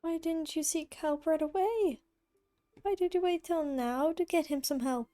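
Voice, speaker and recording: A woman's voice: very high-pitched, unhurried, mellow. A woman streamer reacting casually to a video game she is playing.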